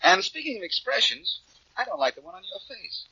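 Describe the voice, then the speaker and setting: An actor playing a cocky, wisecracking amateur detective, breezy and sardonic, in a 1940s radio crime drama. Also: male voice, very high-pitched, sing-song, brisk, gravelly, sharp tone, breathy edge, no echo, full character voice. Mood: needling. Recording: dull old radio recording.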